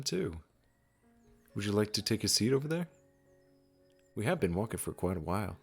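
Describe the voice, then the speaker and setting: A man playing a gentle, wise supernatural gardener in a comforting audio roleplay, speaking soothingly and reassuringly straight to the listener. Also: fairly low pitch, gravelly, husky, exaggerated voice. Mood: warm, content. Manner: soothing, unhurried, reassuring, with soft chuckles.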